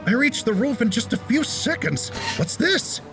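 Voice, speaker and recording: A man's voice: extremely high pitch, sing-song, moderate pace, over music, exaggerated voice. A man playing a shy, earnest teenage science student in a comic dub, talking dramatically to himself. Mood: amazed. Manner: earnest, dramatic self-talk.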